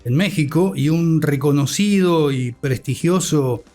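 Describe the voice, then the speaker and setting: Higher-voiced Argentine man, reflective and articulate. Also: dark tone. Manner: measured, articulate, formal vocabulary. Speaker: interview guest, communication academic and scriptwriter. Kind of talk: reflective interview talk. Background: music bed.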